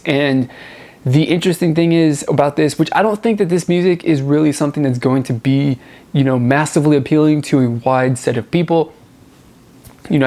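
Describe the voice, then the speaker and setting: A man's voice: medium pitch, darkish tone. A man hosting a music review show, talking casually and off the cuff to viewers, rambling and repeating words for emphasis.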